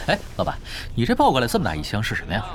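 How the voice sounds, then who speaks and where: An actor playing a laid-back, teasing young male gamer in a Chinese audio drama, with a casual, drawling delivery. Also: medium pitch. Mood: playful.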